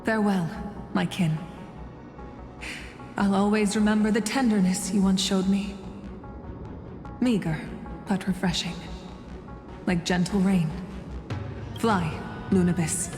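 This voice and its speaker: A woman's voice: medium pitch, fairly even intonation, slow, pauses often, darkish tone, close to an everyday voice. A woman playing a resolute, compassionate young heroine in a solemn video game drama. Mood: tender farewell. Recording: echoey hall reverb under music.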